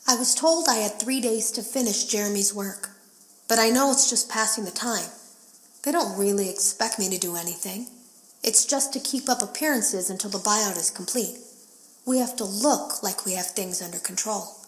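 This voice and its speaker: A woman's voice: mid-range voice, moderate pace, close to an everyday voice. Echoey, uneasy actress as a female game tester. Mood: resigned, determined. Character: uneasy female game tester confiding in secret audio logs. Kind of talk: scripted audio log monologue in a horror game.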